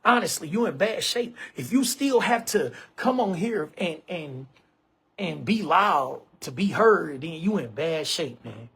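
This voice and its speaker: Husky high-voiced man in his forties. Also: expressive, measured pace, fairly bright tone. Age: 40s. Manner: emphatic, repetitive, keeps saying bro and man. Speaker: online show host. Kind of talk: casual monologue to camera.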